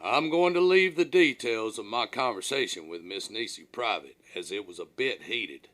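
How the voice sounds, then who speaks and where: Expressive man narrating his own memoir. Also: on the low side, moderate pace. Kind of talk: scripted first-person audiobook narration.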